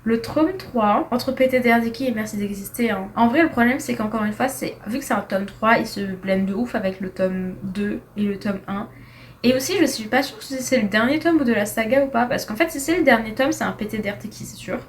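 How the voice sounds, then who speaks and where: A woman's voice: on the high side, brisk, slight background noise. A young woman giving a casual, chatty review of books, tripping over her words and dropping slang as she ranks them. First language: French. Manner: chatty, animated, with slang and frequent restarts. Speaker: book reviewer. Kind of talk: casual tier-list book review.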